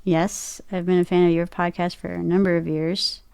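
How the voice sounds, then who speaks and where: A woman chatting casually as an interview guest, telling stories in an animated way and acting out her own reactions. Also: on the low side, moderate pace, creaky, smooth, no room sound. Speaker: guest, voice actor and podcaster.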